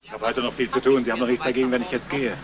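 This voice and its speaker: A man's voice: mid-range voice, little pitch movement, very fast, crowd noise, natural voice. An actor playing a reserved male electricity worker in a radio crime drama, clipped and polite but impatient. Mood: curt. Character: curt, reserved male electricity meter reader.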